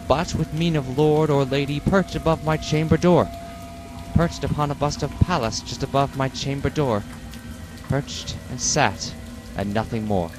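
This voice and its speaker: A man giving a slow, brooding, theatrical dramatic reading of a poem. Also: medium pitch. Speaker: reader. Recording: steady rain noise behind voice.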